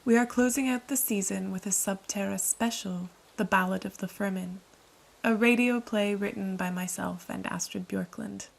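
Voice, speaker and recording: A woman presenting a scripted podcast introduction, reading aloud in a measured way. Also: mid-range voice, fairly even intonation, gravelly, low-quality recording. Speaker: podcast host and radio-play co-writer.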